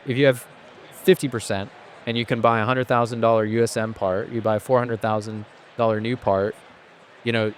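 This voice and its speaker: A man's voice: mid-range voice, a little hiss or hum. A man who grew up in Asia, a guest on a podcast, talking at length in a casual, thoughtful way with frequent restarts. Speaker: podcast guest, company founder. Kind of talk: casual interview conversation.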